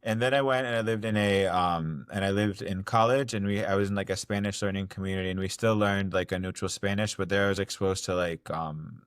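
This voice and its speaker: A man's voice: low voice, a little fast, hardly any pauses, smooth, no echo, studio quality. A young man from Ohio, a podcast guest talking casually with lots of fillers and restarts. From Ohio, United States. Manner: rambling, many fillers and restarts. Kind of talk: casual interview talk.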